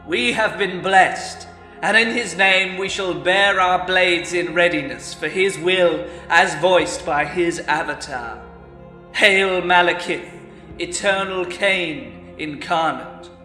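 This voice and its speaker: Man as a high, zealous dark elf herald. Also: takes it slowly, soft background music, heavily acted. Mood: exultant, fervent. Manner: exultant, ceremonial proclamation. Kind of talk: fantasy audiobook character acting. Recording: echoey hall reverb.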